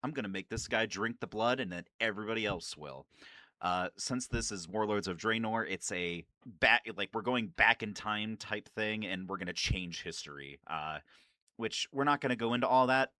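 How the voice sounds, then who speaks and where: A man hosting a video with casual, informal fan commentary, excitable and prone to swearing. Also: on the low side, normal speed, no room sound, clean studio sound. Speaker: video host.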